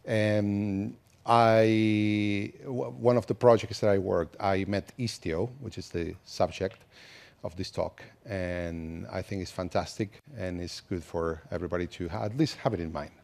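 A man's voice: on the low side, measured pace, gravelly. A man in his thirties from Argentina, with an Argentine Spanish accent, giving a conversational conference talk with frequent restarts. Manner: relaxed, conversational, with many restarts. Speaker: conference speaker. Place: conference hall, indoors.

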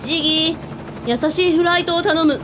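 A woman playing a brash, bossy owner who commands a mission in a radio drama, with a blustering manner. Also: moderate pace. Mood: brisk. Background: music, helicopter rumble.

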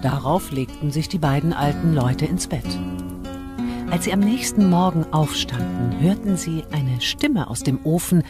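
A woman's voice: deep voice, animated, unhurried, over loud music. A woman narrating a fairy tale from a script as a storyteller.

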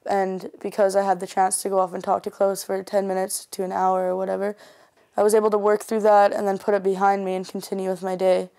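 A woman's voice: medium pitch, monotone, fast talker, vocal fry, mellow. A young woman, a former student, speaking earnestly and steadily in an indoor interview. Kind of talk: interview testimonial. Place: indoor office.

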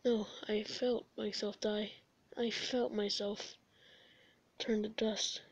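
A woman voicing a laid-back, weary skeleton brother in a comic dub, with a casual, low-key delivery. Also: little pitch movement, slow pace, slightly rough, no echo. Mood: uneasy, weary.

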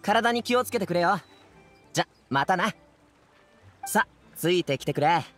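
A man voicing an energetic, good-natured young man in an anime game, talking in a casual, friendly, chatty way. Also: high-pitched, faint music behind. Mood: helpful. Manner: casual, brisk, friendly boyish speech.

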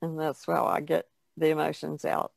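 A woman who paints, chatting casually in a halting, thoughtful way. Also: sits low, flat delivery, gravelly, darkish tone, no room sound. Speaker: painter being interviewed. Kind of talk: casual chat.

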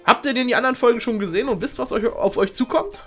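A man's voice: extremely high pitch, quick pace, soft background music. Playful male game show host, exclamatory. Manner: joking, exclamatory, theatrical.

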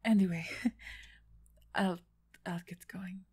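A woman playing a big, gentle, injured half-orc in an ASMR roleplay, speaking softly and hesitantly with a breathy, husky tone. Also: on the low side, steady tone, full character voice. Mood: soft, sincere. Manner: hesitant, soft-spoken, halting with pauses.

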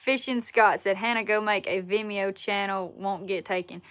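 A woman in her twenties with a Southern Alabama accent, chatting casually on a livestream and answering viewer questions in a relaxed, folksy way from a wood-paneled room. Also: mid-range voice, normal speed. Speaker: outdoors YouTuber answering live chat. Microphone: laptop or phone camera at arm's length.

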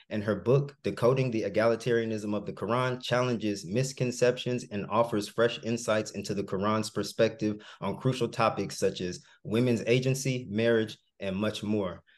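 A man with a fairly low voice hosts a podcast interview from a room at home over a video call. He frames his questions politely and in a measured way. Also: fairly even intonation. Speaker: podcast host. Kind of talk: hosted interview. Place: home room, plain wall.

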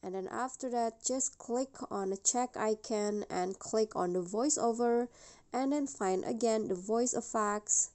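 A woman narrating a casual step-by-step app tutorial as its host, in a somewhat hesitant, halting way. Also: a little high, a little mellow.

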